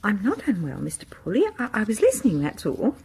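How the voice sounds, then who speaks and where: Shy british lady music fan, really breathy, nervy and polite, voice swoops about, radio drama. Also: medium pitch, wildly swooping pitch, a little fast, darkish tone, full character voice. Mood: flustered. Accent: British English. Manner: hesitant, polite, confiding. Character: shy, devoted female classical music fan, genteel and anxious. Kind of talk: radio horror drama acting.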